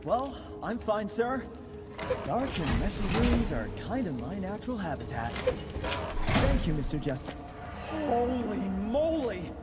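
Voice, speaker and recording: A brainy young man in a video game, with a high, very animated voice. He is nervous and eager to please, and talks in fussy technical detail. Music plays behind him. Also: slow pace, heavily acted. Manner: wordy, fussy, stammers when rattled. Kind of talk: video game cutscene acting.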